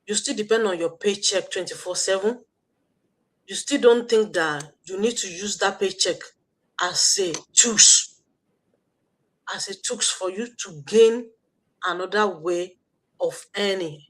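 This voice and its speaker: A woman's voice: mid-range voice, measured pace, pauses often. A woman with a Nigerian accent hosting an online live stream, giving a motivational talk with a preachy, repetitive manner and frequent fillers. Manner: preachy, repeats points, thinks aloud with fillers.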